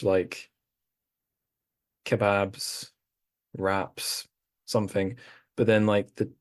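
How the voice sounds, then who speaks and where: A man with a very low, deep voice hosts a relaxed podcast conversation and wanders through amused anecdotes. His voice is dry and close, with no room sound around it. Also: dry recording. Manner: rambling, amused storytelling. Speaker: podcast host. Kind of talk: casual chat.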